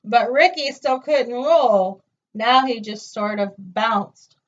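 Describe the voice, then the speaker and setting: A woman reading a children's picture book aloud with an expressive, singsong delivery, in a dull, distant recording. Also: a little high, takes it slowly. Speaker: storytime reader.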